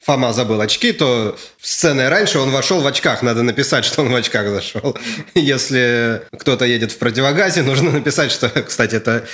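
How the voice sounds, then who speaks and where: A man's voice: mid-range voice, fairly quick, fairly bright tone. Joking man co-hosting a podcast. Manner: joking, laughs between phrases. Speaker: podcast co-host, screenwriter. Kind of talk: casual podcast chat.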